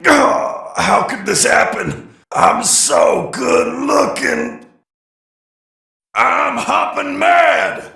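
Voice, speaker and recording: Brief: vain robot gator rocker, very gravelly and high-strung, swaggering, bellowed game catchphrases, male. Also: very animated, drawn-out words, bright, forceful tone, exaggerated voice. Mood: cocky, aggressive. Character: vain, aggressive male animatronic alligator rocker. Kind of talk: video game catchphrase acting. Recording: roomy echo.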